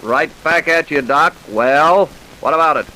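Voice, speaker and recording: A man's voice: a little high, a little slow, sharp tone, rough recording. A man playing a blunt, persistent county sheriff in an old-time radio crime drama, folksy and confident. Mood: probing. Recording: hissy vintage radio recording.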